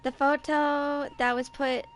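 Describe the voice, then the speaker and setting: A woman's voice: sits high, little pitch movement, slow pace, faint music behind. A woman in her twenties giving casual, chatty commentary as she plays a video game. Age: 20s. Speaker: gaming video host. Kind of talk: casual game playthrough commentary, reading text aloud.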